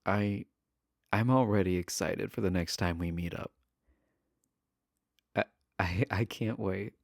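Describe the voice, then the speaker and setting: A man acts out an adorkable jailed villain in an intimate romance audio roleplay. His low voice has a gravelly creak and moves slowly, with nervous, halting stammers and an earnest, smitten tone. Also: dry recording, studio quality. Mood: excited. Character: shy, adorkable imprisoned male villain, smitten with pen pal.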